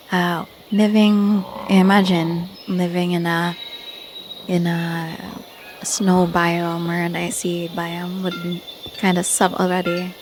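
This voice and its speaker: A woman's voice: fairly low pitch, noisy background. A woman giving a casual, unscripted video game tour commentary, hesitant and often searching for words. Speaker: gamer showing off own Minecraft village build.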